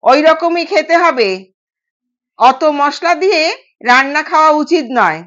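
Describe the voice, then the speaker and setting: A woman voicing a stern older mother-in-law in an audio story, scolding and giving sharp orders. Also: sits high, expressive, a little slow, lo-fi sound. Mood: commanding.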